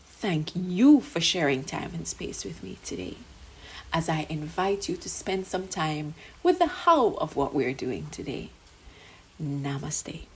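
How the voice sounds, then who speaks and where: A woman giving a gentle, soothing self-care reflection, sometimes dropping to a whisper. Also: deep voice, very animated, slow, a little hiss or hum, low-quality recording. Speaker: segment presenter.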